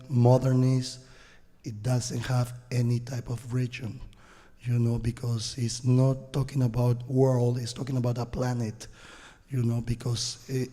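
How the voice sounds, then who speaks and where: Panelist, man, very dark and flat, emphatic, hall echo. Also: mid-range voice. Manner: emphatic, searching for words. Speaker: panelist, art dealer. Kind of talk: panel discussion. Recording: echoey hall.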